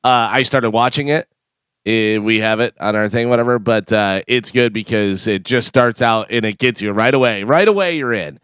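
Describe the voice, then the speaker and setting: Smooth-voiced congested radio co-host man. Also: medium pitch, moderate pace, a bit bright, dry recording, clean studio sound. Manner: joking storyteller. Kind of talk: casual morning radio chat. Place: radio studio.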